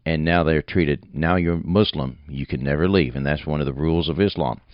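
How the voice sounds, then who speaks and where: A man's voice: extremely low pitch, a little fast, dry recording. A man hosting a religious radio talk show, speaking in a rambling, folksy way with many fillers. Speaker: radio host. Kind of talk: radio interview. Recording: dull, dry studio sound.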